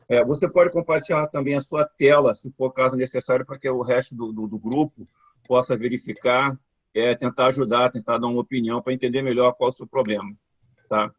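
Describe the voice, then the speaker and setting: A man's voice: medium pitch, husky, no room sound. A man hosting an online community meetup on a video call, stumbling over repeated words and checking in with "tá?". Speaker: community event host. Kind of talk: live online meetup talk. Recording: dull, dry call audio.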